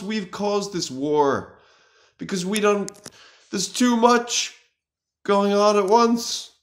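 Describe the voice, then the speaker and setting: A man in his thirties playing an anxious, earnest, melodramatic self-styled warrior in a halting, mock-serious monologue to camera. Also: sits high, pitched above the performer's own voice. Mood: agitated, defensive. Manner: halting, grave, deliberate delivery with long pauses. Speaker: comic video responder. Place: small room indoors.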